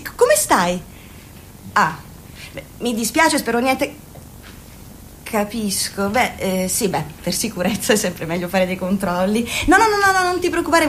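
A woman's voice: high voice, a bit bright, slight background noise. An actress playing a fussy, chatty middle-aged mother who nags her grown son and sells lipsticks by phone. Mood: sugary, flustered. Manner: nagging, pushy, overly sweet. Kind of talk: radio play acting.

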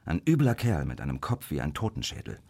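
An actor playing a wary, greedy Victorian opium addict confiding secrets in a radio crime drama, with theatrical, deliberate emphasis. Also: male voice, extremely low pitch, huge pitch swings, vocal fry, darkish tone, breathy edge, full character voice. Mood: conspiratorial.

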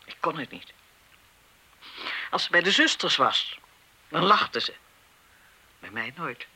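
An older, embittered schoolmistress in a radio play. Her voice is very bright and forceful, with animated swings of pitch. She lectures indignantly, like someone sure of her rules and weighed down by guilt. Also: takes it slowly, breathy edge, heavily acted. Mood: guilty, bitter. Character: embittered retired schoolmistress, stern, righteous, haunted by guilt. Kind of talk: Dutch radio play acting.

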